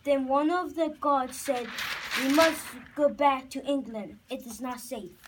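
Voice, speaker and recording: Slow, high young voice narrating from a distance. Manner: halting, repeats and restarts phrases. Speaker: puppet show narrator. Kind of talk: live storytelling narration of a homemade puppet show. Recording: distant, faintly noisy home recording.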